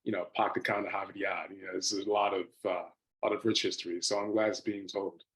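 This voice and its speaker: An American man from Massachusetts gives casual remarks as an actor at a Q&A. His voice is slightly low. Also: little pitch movement, brisk, fairly bright tone, low-quality recording. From Framingham, Massachusetts, United States. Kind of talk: casual comment at a Q&A.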